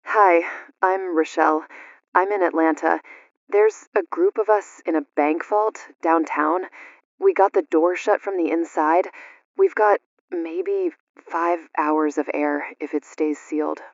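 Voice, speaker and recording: A woman's voice: lowish voice, measured pace, no room sound, muffled recording, natural voice. An actress playing a worried woman who calls in from a sealed vault full of people, reporting in short, clipped phrases. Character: anxious woman trapped in a vault with others.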